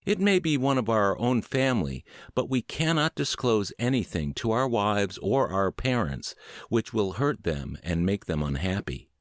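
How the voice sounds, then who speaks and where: A man narrating a book word for word as scripted narration, with measured, deliberate phrasing. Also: fairly low pitch, lively intonation, dry recording, studio quality. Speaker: audiobook podcast narrator.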